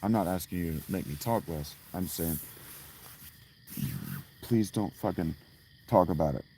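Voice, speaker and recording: A man with a hoarse, strained voice, rambling and swearing as he chats casually with viewers on a livestream outdoors at night. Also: low voice, unhurried, dark tone, slight background noise. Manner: rambling, profane, restarts often.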